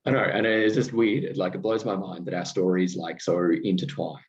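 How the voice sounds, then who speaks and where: A very low-voiced Australian man hosting a relaxed chat. He circles around his questions with plenty of fillers and restarts, and laughs easily. The recording sounds a bit dull and lo-fi. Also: a little fast. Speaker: podcast host. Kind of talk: casual interview chat.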